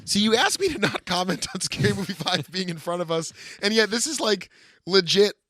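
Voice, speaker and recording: High-pitched, animated man riffing on a podcast. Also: brisk, dry recording, clean studio sound. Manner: joking, mock-dramatic, given to riffing. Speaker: podcast co-host. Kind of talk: casual, profane comedic movie chat.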